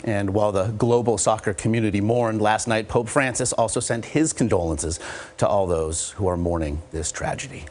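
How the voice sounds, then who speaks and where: A man narrating a scripted television news report in a measured, solemn way. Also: on the low side, a little mellow, slight background noise.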